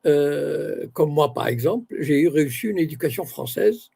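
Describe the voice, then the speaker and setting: An older man from Morocco talks as an interview guest, weighing each phrase and correcting himself as he goes. His voice is gravelly and husky, with a dark, mellow tone that sits a little high for a man. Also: expressive. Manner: measured, searching for words, self-correcting. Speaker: author and university academic. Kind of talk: interview guest, reflective talk.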